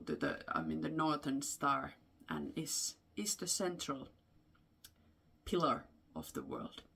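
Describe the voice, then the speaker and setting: A woman with a Finnish accent speaks in a low register with plenty of vocal fry. She tells folklore without a script, explaining as she goes. Her delivery is halting, with frequent pauses between short phrases. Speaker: storyteller and host. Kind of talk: unscripted folklore storytelling.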